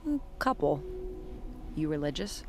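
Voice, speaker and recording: An actress playing a tough, seasoned, world-weary detective in an audio drama, speaking in clipped, dry commands. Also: female voice, low voice, very animated, a little slow, background noise, heavily acted. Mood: wry, reflective.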